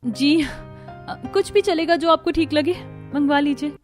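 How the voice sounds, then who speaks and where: A woman's voice: sits high, unhurried, soft background music, voice pushed up. A woman voicing a shy, polite and easily bewildered young bride-to-be in a cartoon comedy. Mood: flustered. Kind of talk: Hindi cartoon comedy acting.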